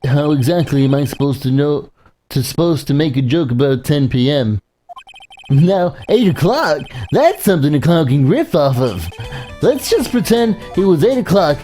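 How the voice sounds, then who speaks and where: A woman's voice: deep voice, expressive, normal speed, warm tone, soft background music. Woman playing a chatty, swooping circus clown. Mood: jokey. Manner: rambling, eager, stumbling. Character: chatty, eager male circus clown witness fond of bad jokes. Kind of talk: amateur voicing of a courtroom video game.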